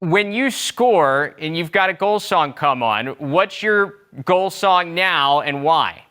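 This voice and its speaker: A male sports show host with an upbeat, conversational delivery. His voice sits a bit high, bright and crisp, and moves easily between questions and segment wrap-ups. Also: expressive, slow. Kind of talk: sports talk show hosting.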